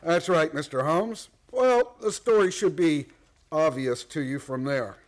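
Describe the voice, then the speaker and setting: A man plays a rich, domineering magnate in a radio drama. His voice is pitched high for a man, and he speaks bluntly and formally, with wounded pride. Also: animated, a little slow. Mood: confessional. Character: proud, domineering, wealthy male gold magnate, defensive. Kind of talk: radio play acting.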